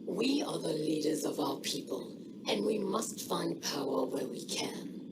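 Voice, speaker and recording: A woman voicing a proud, weary alien leader in a video game cutscene, solemn and deliberate, with a breathy rasp. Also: low voice, lively intonation, in a whisper. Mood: insistent.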